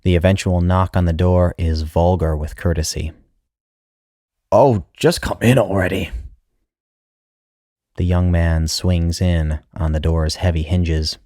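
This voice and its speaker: A man's voice: sits low, flat delivery, moderate pace, few pauses, warm tone, no echo, studio quality. A man reading a literary short story as its narrator, in a scripted style.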